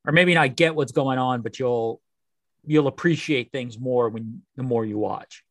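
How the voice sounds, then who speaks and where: A man's voice: mid-range voice, a little slow, dry recording. A man co-hosting a podcast, talking casually and a little tentatively about films. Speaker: podcast co-host.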